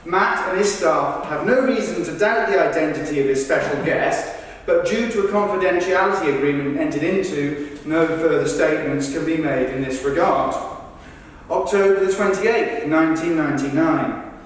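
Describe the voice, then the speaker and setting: A man's voice: fairly high pitch, normal speed. A man delivering a rehearsed verbatim theatre monologue, recorded from a distance in a very echoey rehearsal room. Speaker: stage performer. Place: large reverberant indoor rehearsal room.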